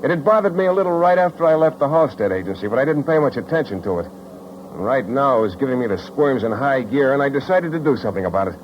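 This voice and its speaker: An actor playing a wry, tough private detective in clipped 1950s radio style. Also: male voice, medium pitch, lively intonation, fast, slight background noise, lightly acted. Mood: wary, intent. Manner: wry, hard-boiled, clipped. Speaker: lead actor and narrator. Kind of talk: 1950s radio detective acting, first-person narration. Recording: dull 1950s radio recording, music behind.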